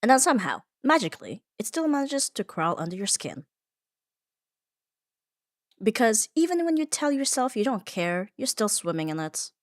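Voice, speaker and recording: A woman's voice: on the high side, very animated, moderate pace, no room sound, studio quality. A woman delivering a scripted comfort ASMR monologue in an earnest, reflective manner, leaning on short emphatic phrases. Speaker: ASMR audio performer.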